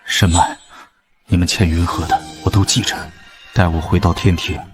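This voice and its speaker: A man's voice: steady tone, over music, lightly acted. A man playing a grave, vengeful celestial lord. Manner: low, grave, measured vows.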